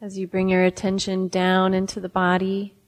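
A woman meditation teacher leading a guided meditation, giving gentle instructions with long pauses. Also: mid-range voice, completely flat delivery. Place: meditation center hall. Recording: reverberant hall.

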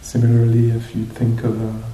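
A man teaching meditation to a group, speaking reflectively and off the cuff, with hesitant pauses between short phrases. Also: monotone, dark tone, muffled recording. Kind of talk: guided meditation talk, unscripted and reflective. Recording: roomy echo, faint noise with low rumble.

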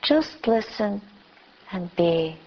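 A woman teacher leading a guided meditation with gentle instructions in short, spaced phrases. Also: medium pitch, animated, slow, a little hiss or hum. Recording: dull, lo-fi recording.

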